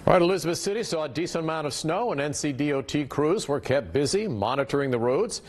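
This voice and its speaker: Animated male news anchor. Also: fairly high pitch, fast talker.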